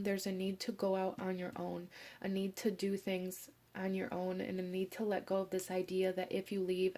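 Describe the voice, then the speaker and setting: A young woman, hoarse from a cold, giving a gentle pick-a-card tarot reading and slipping into soft whispers. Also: mid-range voice. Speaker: tarot reader.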